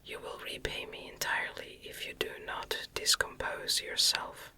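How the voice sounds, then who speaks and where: A woman reads a gothic novel in a soft, breathy ASMR whisper, with an unhurried, calming delivery. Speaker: audiobook reader.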